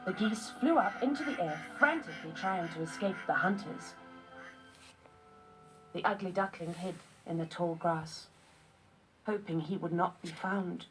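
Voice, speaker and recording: A woman's voice: lowish voice, a little slow, pauses often, rough recording. Woman reading a children's story, music behind. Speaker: storybook narrator. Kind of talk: scripted children's story narration.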